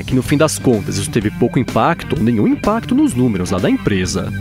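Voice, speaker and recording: A Brazilian man speaks as a podcast host with hugely varied, swooping intonation. His pitch sits in a middle male register. The delivery is informal news narration, dotted with small conversational tags, and music plays behind him. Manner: informal, peppers sentences with "né" tags.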